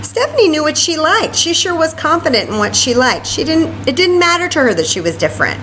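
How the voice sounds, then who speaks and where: Animated woman reading to children, background noise. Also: sits high, brisk, low-quality recording. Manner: expressive, asks listeners questions. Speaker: library story time reader. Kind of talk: animated read-aloud with chatty asides to children.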